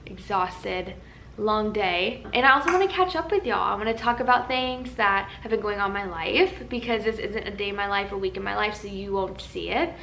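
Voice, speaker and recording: A young woman vlogging in a casual, chatty way, tired and a little raspy from a nap, in a large empty house. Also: medium pitch, normal speed, bright, forceful tone, reverberant, a little hiss or hum. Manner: chatty, rambling, earnest. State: tired, slightly raspy from just waking up. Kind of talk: casual chat to camera. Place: large empty house used as a wedding venue.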